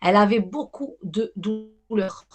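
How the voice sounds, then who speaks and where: A woman's voice: medium pitch, measured pace, husky, faint music behind. A woman giving a live medium reading to callers over a video call, hesitant and reassuring, often repeating herself.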